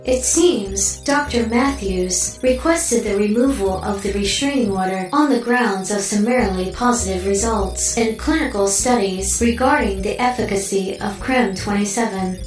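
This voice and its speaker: Female AI assistant, played by an actress: calm, clinical, measured. Roomy, distant sound, audio drama. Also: medium pitch, close to an everyday voice. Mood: impassive. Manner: measured, impassive, formal. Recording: echoey, distant sound over faint music.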